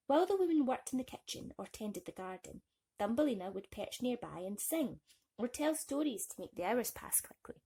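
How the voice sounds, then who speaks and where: A woman reading a bedtime story aloud to children in an expressive storytelling style. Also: fairly high pitch, moderate pace, slightly rough.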